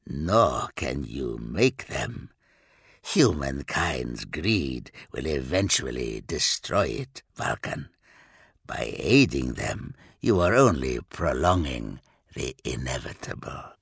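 A deep, husky, grave ghostly brother. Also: male voice, big pitch swings, a bit bright, no room sound, studio quality, heavily acted. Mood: grim fatalism. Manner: solemn, measured. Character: dead brother's bony male apparition, grave, fatalistic.

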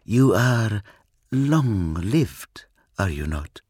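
A man voicing a hushed, cold alien seer. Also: on the low side, very animated, very slow, slightly rough, no room sound, studio quality, heavily acted. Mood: cool, probing. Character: cold, cryptic male alien seer, calm and superior. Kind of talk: audiobook reading.

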